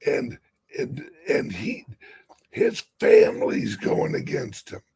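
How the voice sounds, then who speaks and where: A man with a raspy voice hosting a casual political chat on a crypto show, talking emphatically and restarting often. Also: high-pitched, huge pitch swings, slow pace, mellow.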